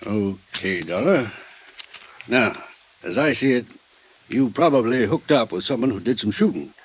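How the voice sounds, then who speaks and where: An actor playing a shrewd, cautious attorney in a 1950s radio drama, asking measured questions with formal politeness. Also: male voice, mid-range voice, animated, a little hiss or hum, natural voice. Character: shrewd, cautious male small-city attorney, politely probing. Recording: dull vintage radio recording.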